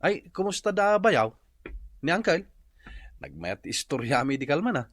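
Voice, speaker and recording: Animated, sheepish Ilocano uncle. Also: male voice, on the high side, normal speed, no room sound, studio quality. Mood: bewildered, defensive. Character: sheepish uncle apologizing for drunken misdeeds. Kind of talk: Ilocano radio comedy drama acting.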